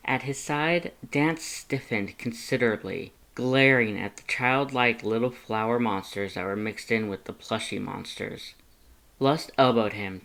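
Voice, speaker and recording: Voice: mid-range voice, fairly bright tone. A narrator reading a scripted fan fiction story and voicing its characters.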